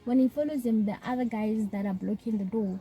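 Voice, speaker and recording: Woman, soft-spoken, mellow, slightly high, casual chat, music faintly behind. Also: a little fast, rough recording. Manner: soft-spoken, hesitant restarts, laughing. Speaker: author guest.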